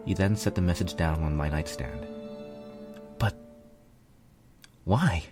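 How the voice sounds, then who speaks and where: A man's voice: extremely low pitch, brisk, over music. A man reading a piece of fiction aloud as a scripted narration. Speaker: fan fiction reader, narrator.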